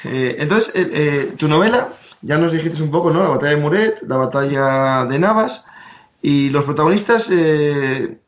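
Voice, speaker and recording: Agreeable podcast host, muffled sound. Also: male voice, a little high, expressive, echoey room. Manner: agreeable, quick repeated affirmations. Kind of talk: casual interview. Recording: dull lo-fi sound.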